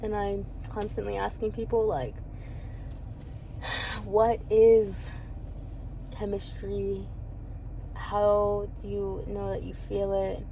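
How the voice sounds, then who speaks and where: A woman's voice: mid-range voice, little pitch movement, slow pace, vocal fry, pauses often, mellow, a little hiss or hum, poor audio. A woman in her early twenties talking candidly about her feelings in a rambling personal vlog, laughing now and then. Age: 20s. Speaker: vlogger.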